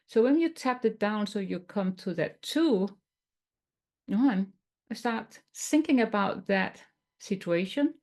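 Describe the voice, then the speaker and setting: A woman from Denmark with a Danish accent, teaching tapping in a webinar in a gentle, explanatory way with some non-native phrasing. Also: medium pitch, animated, takes it slowly. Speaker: tapping coach.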